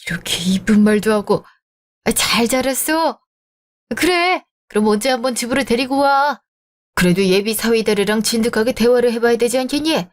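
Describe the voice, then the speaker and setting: A woman's voice: fairly high pitch, moderate pace, close to an everyday voice. A woman voicing a fond, doting father in a radio drama. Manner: warm, fond. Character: doting widowed father, fond older man.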